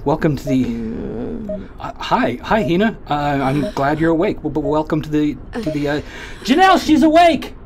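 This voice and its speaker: A man's voice: a little high, big pitch swings, normal speed, darkish tone, a little hiss or hum. Nervous awkward young crewman. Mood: flustered. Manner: nervous, stammering, literal-minded. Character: Robbie, awkward young man on a spaceship crew.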